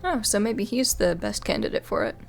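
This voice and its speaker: A woman's voice: medium pitch, wildly swooping pitch, fairly quick, gravelly. A woman giving casual commentary as she streams a game playthrough. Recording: loud game music behind.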